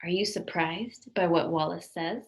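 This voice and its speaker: Woman reading a picture book, lowish voice. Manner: expressive, pausing to question and point out pictures. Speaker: storybook reader, teacher. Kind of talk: children's picture-book read-aloud with asides to listeners.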